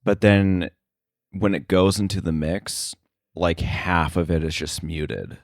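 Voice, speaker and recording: A man's voice: deep voice, steady tone, slow, dry recording, clean studio sound. A man speaking casually as a podcast guest, a sound effects editor with a self-deprecating, chuckling way of talking. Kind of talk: casual interview conversation.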